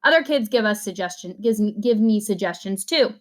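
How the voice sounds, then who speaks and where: A woman reading a children's novel aloud in an expressive way, acting out the dialogue as she goes. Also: medium pitch, fairly quick.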